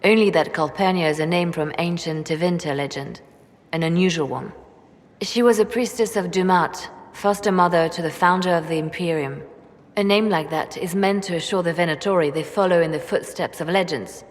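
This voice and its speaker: A guarded fantasy-game spymaster, acted by a woman, with a low female voice in a long, ringing reverb. She speaks coolly and deliberately, weighing each phrase with a brooding reserve. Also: close to an everyday voice. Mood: cool, calculating. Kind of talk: video game dramatic acting.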